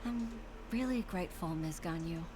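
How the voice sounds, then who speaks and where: A woman's voice: fairly low pitch, moderate pace, over music, close to an everyday voice. A woman playing an earnest, respectful young female official in a video game, sincere, formal and polite. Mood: sincere, grateful.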